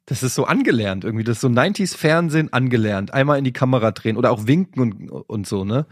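Wry, chatty man, husky voice. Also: mid-range voice, expressive, fast talker, dry recording, clean studio sound. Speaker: podcast co-host. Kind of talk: casual chat.

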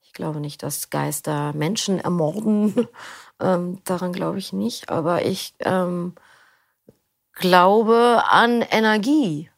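A middle-aged woman with a low, gravelly voice, talking through her answers in a loose, thoughtful way. She restarts often and fills pauses with small hesitations. The sound is dry and close. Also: dry recording. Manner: thoughtful, rambling with restarts and fillers. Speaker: interview guest, audiobook narrator. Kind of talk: casual interview answers.

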